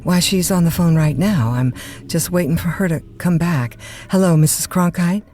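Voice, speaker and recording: A woman's voice: fairly low pitch, moderate pace, mellow, a little hiss or hum, lightly acted. A woman playing a polite, flustered overnight radio host in an audio drama, folksy and courteous in manner. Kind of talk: naturalistic radio drama acting.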